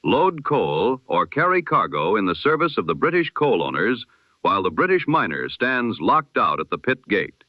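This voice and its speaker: A man reading the news in the formal, measured style of an old-time radio broadcast. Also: medium pitch, no room sound. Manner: measured, formal newsreader delivery. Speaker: news announcer. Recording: dull lo-fi radio-style sound.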